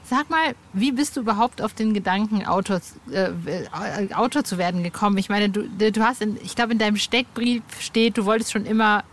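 Mid-pitched woman interviewing casually. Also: normal speed, few pauses. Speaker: podcast host, interviewer. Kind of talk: casual, informal interview questions.

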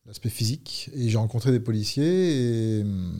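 A man in his fifties from Paris, a police unit leader talking through his career as a guest, measured and hesitant with fillers. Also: medium pitch, dark tone. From Paris, France. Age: 50s. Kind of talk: conversational interview.

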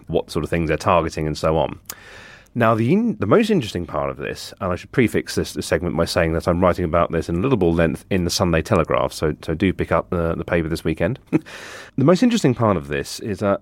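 A man with a low, deep voice explains a subject as a reporter on a news podcast. The sound is dry and close. He speaks in a measured way, adding asides as he goes. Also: dry recording. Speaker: guest senior technology reporter.

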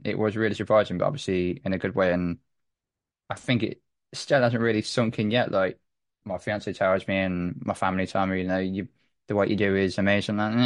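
A young man chatting casually as a podcast guest, halting and restarting his sentences often. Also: sits low, no room sound, studio quality. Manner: halting, with many restarts and repeated words. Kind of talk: casual chat.

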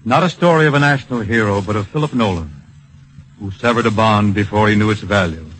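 An actor playing an earnest, solemn storyteller, narrating in poetic phrases with dramatic pauses in an old-time radio drama. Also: male voice, on the low side, fairly bright tone, low-quality recording. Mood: earnest, reflective. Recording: vintage radio broadcast with music.

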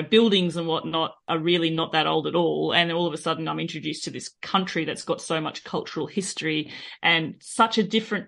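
A woman's voice: low-pitched, creaky, fairly bright tone. An Australian woman, a podcast guest, telling her story in a chatty, reflective way with frequent fillers. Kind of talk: interview, personal storytelling.

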